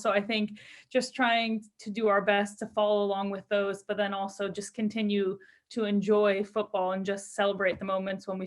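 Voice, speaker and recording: A young woman speaks as a footballer in an interview, with a mid-range voice. Her answers are casual and conversational, delivered in an upbeat, earnest manner. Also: medium pitch. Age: young adult. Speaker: professional footballer being interviewed.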